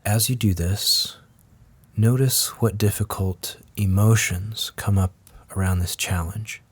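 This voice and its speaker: A man leads a guided meditation as the teacher, speaking gently and in a measured way with long pauses. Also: fairly low pitch, steady tone, breathy edge. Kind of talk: guided meditation, slow scripted instruction.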